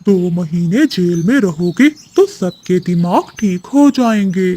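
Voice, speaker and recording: A woman's voice: lowish voice, lively intonation, slow pace, warm tone, slightly rough, exaggerated voice. A woman voicing a stern, protective male bird in a children's cartoon, with an admonishing manner. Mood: stern, challenging.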